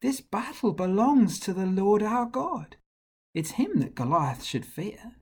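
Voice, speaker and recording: A man voices a brave young shepherd boy in a rhyming storybook. He speaks up high and earnest, full of indignant conviction. The tone keeps a husky grain. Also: big pitch swings, measured pace, a little mellow, full character voice.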